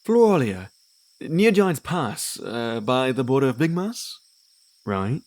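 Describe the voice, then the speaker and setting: A man's voice: medium pitch, big pitch swings, slow, darkish tone, dry recording. A man playing a charming, playful demon who is a wandering bard in an audio drama, theatrical, flirtatious and breezy. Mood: gentle, charming.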